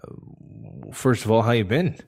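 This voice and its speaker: A man's voice: lowish voice, big pitch swings, unhurried, gravelly, darkish tone, slightly rough, exaggerated voice. A man playing a friendly, hesitant visitor in casual audio drama dialogue. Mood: sheepishly friendly. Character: friendly, hesitant male visitor, old workmate.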